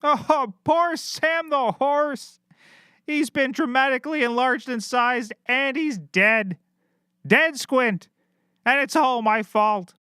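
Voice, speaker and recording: A man's voice: very high voice, slow, pushed and bright, clear, resonant tone, no echo, studio quality, full character voice. A man voicing a hapless, panicky cartoon private detective with a whiny, flustered manner. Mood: mournful. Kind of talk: scripted comedy cartoon acting.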